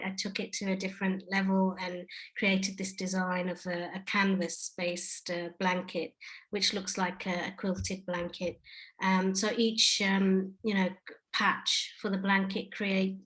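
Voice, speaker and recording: A woman's voice: lowish voice, a bit bright, rough recording. A woman from the United Kingdom, an artist, answering audience questions on a video call webinar, speaking hesitantly with many fillers and restarts. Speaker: artist and project lead.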